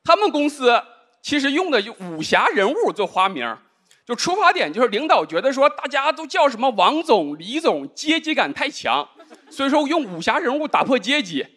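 High, lively-voiced man doing stand-up. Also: normal speed. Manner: dry, deadpan delivery with rhetorical asides. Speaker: stand-up comedian. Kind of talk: stand-up comedy set. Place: large indoor studio stage with live audience. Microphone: handheld microphone. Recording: live audience laughter.

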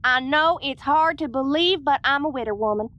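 A woman's voice: high voice, normal speed, muffled recording, natural voice. A woman playing a folksy, chatty alien widow and business boss with a Southern US accent, in an audio roleplay. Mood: friendly, flirty. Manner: folksy, chatty, self-assured.

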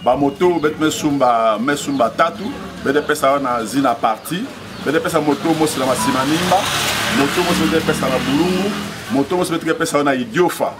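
A man's voice: on the high side, a little fast. A Congolese man making an announcement at a public ceremony. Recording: very noisy outdoor ceremony.

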